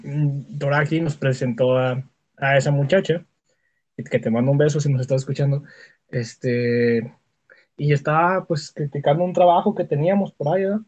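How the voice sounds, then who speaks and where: Young Mexican man, high-pitched, slangy. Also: steady tone, moderate pace, darkish tone. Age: young adult. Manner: slangy, swears casually. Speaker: podcast co-host. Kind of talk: casual podcast chat, personal storytelling. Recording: dull lo-fi voice audio.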